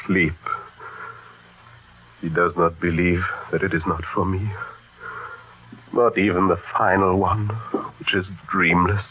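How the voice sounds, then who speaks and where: An actor playing a haunted, fearful refugee who broods haltingly in an old-time radio drama. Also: male voice, deep voice, little pitch movement, muffled recording. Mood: anguished. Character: haunted, tormented refugee man who remembers past lives.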